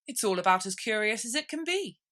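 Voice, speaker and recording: Woman playing a brusque gryphon, impatient, bossy, raised pitch, animated delivery, storybook creature. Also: fairly quick. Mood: commanding. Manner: bossy, abrupt.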